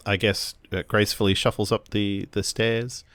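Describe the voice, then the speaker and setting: A man's voice: lowish voice, little pitch movement, moderate pace, fairly bright tone, no echo. A man playing a tabletop roleplaying game, hesitantly narrating his characters' actions in the third person as he searches for words.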